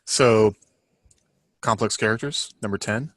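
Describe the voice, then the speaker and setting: A man chatting casually as a podcast panelist, talking in a halting, self-deprecating way. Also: lowish voice, a bit bright, no room sound. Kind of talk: casual chat.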